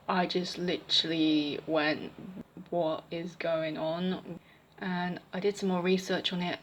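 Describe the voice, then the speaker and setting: A young woman giving her opinions in a casual vlog, rambling with fillers and restarts, her voice slightly croaky. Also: fairly low pitch, fairly bright tone, slight background noise, rough recording. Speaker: vlogger.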